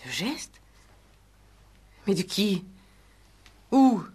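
An actress playing a polite, composed bourgeois hostess in a 1960s radio play. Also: female voice, medium pitch, big pitch swings, drawn-out words, mellow, breathy edge, full character voice. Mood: questioning.

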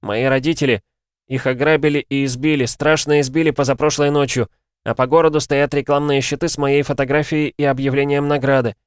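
Guy doing a scared little boy for an audiobook, pitched way up, tearful and begging, dry studio sound. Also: moderate pace, no room sound, lightly acted. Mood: shaken. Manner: desperate, pleading, crying out. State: crying. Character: frightened sobbing young boy, pleading for his parents on phone.

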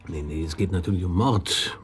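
A shrewd police detective in a radio crime play. The voice is low and noticeably husky. He thinks aloud in a dry, colloquial, naturalistic way. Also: moderate pace, faint music behind. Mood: matter-of-fact. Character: shrewd, musing male police detective chief. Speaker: actor in a radio drama. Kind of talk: naturalistic German radio crime drama acting.

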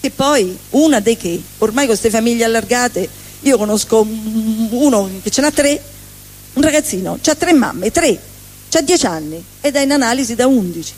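A woman's voice: a little high, animated, moderate pace, a little mellow. A woman comedian doing a sarcastic stand-up monologue on stage, rattling off lists. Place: stage at a live benefit evening.

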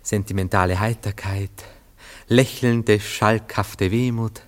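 An actor in a German radio play, playing a self-dramatizing, indecisive lover, effusive and hesitant. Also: male voice, on the low side, fairly even intonation, slow pace. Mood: dreamy, sentimental. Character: self-dramatizing, sentimental, indecisive male lover, a Viennese dandy.

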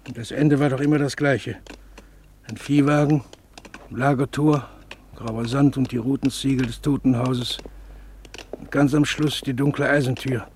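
An actor playing a weary, guilt-ridden male camp survivor in a radio play, speaking haltingly with many pauses. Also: medium pitch, fairly even intonation, darkish tone, a little hiss or hum, natural voice. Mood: haunted.

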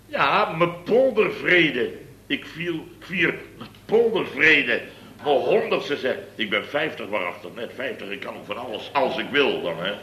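Blustering fifty-year-old boss. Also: male voice, medium pitch, animated, a little slow, sharp tone. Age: 50s. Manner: blustering, rambling, chuckles at himself. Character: boastful, muddled middle-aged male contractor boss. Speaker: contractor boss. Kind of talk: 1960s radio play acting. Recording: echoey room with faint noise.

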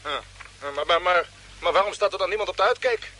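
Male space mission commander: high, bright voice, deliberate authority, noisy old radio drama. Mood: cautious, concerned. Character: Jeff, male spaceship commander, decisive, cautious leader. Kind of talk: Dutch radio play acting.

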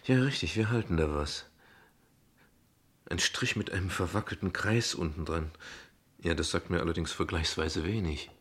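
An actor in a German radio play as a guarded, ironic father, dryly formal and deliberate, with a gravelly, husky voice. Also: low voice, fairly bright tone. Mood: casual, wry. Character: guarded, ironic father, courteous but defensive.

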